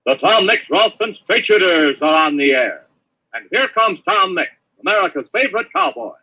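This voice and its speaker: An actor playing a rousing announcer for a cowboy radio show, excited and proclaiming in 1930s style. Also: male voice, high voice, moderate pace, husky, heavily acted. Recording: dull old radio recording with music. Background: music.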